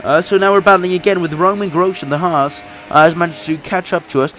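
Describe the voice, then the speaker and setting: A man's voice: high voice, steady tone, fairly quick, a bit bright, soft background music. A young man who says he is sick, chatting through his racing game career race, laughing often and restarting his sentences. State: sick, voice a little off. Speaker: gaming YouTuber.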